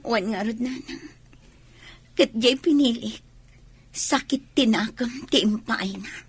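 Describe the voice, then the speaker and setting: A woman's voice: fairly high pitch, slow pace, breathy edge, full character voice. A woman with an Ilocano accent acting an ambitious, envious and regretful young pregnant village woman in a radio drama.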